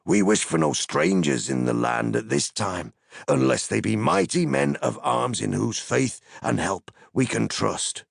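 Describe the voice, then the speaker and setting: A man's voice: medium pitch, expressive, a little slow, gravelly, husky, dry recording, studio quality, voice pushed up, heavily acted. A man voicing a wary, stern leader of city guardsmen, formal and challenging in archaic phrases.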